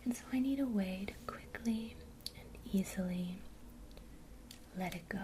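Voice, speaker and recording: Slow whispering woman, soft ASMR. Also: mid-range voice, background noise. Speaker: ASMR creator teaching witchcraft.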